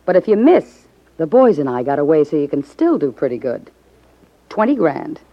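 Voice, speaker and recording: A woman's voice: low voice, big pitch swings, normal speed. An actress playing a tough, scheming, resentful gangland woman, hard-boiled and slangy, in a 1950s radio drama. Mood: coaxing. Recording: muffled 1950s radio recording.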